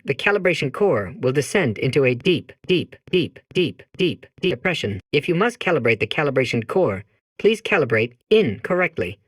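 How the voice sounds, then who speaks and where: A man's voice: mid-range voice, dry recording, studio quality, natural voice. A man voicing a polite, gloomy and anxious robot in a comedy game, with repetitive, literal machine-like phrasing. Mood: gloomy, pleading. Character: anxious, gloomy robot core dreading loss of purpose. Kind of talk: comic game character monologue.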